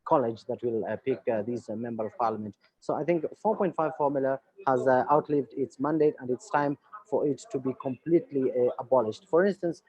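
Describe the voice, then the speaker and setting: A Somali journalist speaking in a webinar panel, earnest and hesitant, with many fillers. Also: male voice, medium pitch, brisk, a little mellow, slightly rough. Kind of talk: panel discussion remarks. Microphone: video call. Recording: dull lo-fi video call audio.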